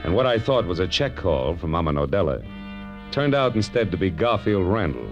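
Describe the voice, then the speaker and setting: An actor playing a wry, world-weary private detective in a 1940s radio drama, dry, hard-boiled and wisecracking. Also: male voice, low-pitched, sing-song, brisk. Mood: wry narration. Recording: dull vintage radio recording with music.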